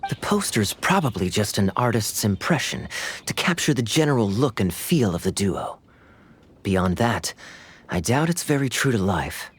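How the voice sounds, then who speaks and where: A man playing a suave, easygoing young knight officer in an anime video game, with a smooth, wry, measured delivery. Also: lowish voice, very animated. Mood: approving, assured.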